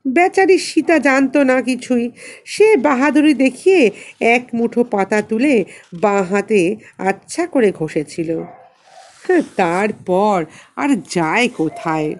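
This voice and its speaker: A woman narrating a literary story in an expressive, dramatic reading style. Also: a little high, takes it slowly, a little hiss or hum. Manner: dramatic, acts out dialogue. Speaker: audiobook narrator.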